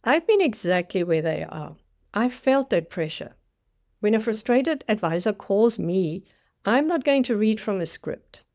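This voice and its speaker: A woman's voice: mid-range voice, sing-song, a little slow, a little mellow. South African woman, 60s, career coach delivering a dramatic scripted personal story. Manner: dramatic storytelling with acted-out quotes.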